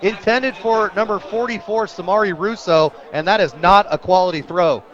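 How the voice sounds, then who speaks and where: Man, American accent, high-pitched, live football play-by-play, excitable, partisan radio announcer. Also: moderate pace, highs cut off. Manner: excitable on big plays, partisan for the home team.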